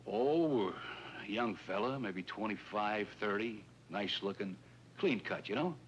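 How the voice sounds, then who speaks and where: Folksy shopkeeper with swooping intonation. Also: male voice, lowish voice, lively intonation, unhurried. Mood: earnest, rueful. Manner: hesitant, plain-spoken, folksy. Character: wary, folksy male shopkeeper, robbery victim. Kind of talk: 1950s police drama acting. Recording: noisy old 1950s film soundtrack.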